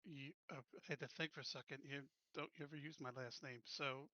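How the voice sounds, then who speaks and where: Bright-voiced man playing a tabletop game. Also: medium pitch, steady tone, fairly quick, no room sound, muffled recording. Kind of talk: casual game-table chat.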